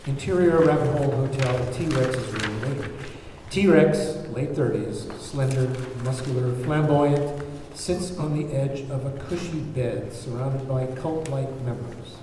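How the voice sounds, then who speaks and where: A man's voice: medium pitch, measured pace, far from the mic. A man reading scripted stage directions as narrator at a table read, in an echoey, noisy room.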